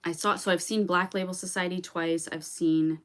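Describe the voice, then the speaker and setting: A woman's voice: lowish voice, steady tone, normal speed, a bit bright. A woman singer-songwriter chatting casually with viewers during a livestream, rambling with lots of "um"s and restarts.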